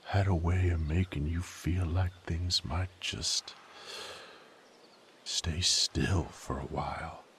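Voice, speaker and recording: A male actor playing a brooding, rough knight with a violent past in an audio roleplay, speaking wearily in folksy, rough diction. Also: steady tone, takes it slowly, fairly bright tone, a little hiss or hum, exaggerated voice. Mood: wistful, subdued. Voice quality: very low, gravelly. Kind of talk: dramatic character monologue, audio roleplay.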